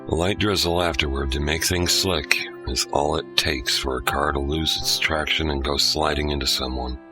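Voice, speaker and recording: A man with an extremely deep, gravelly voice hosting and narrating an audio drama in a measured tone. Music plays clearly beneath his words. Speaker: host and narrator.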